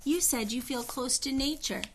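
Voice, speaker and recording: A woman reading a children's story aloud, in an expressive narrating style. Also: high voice, moderate pace, a little hiss or hum. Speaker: storybook reader.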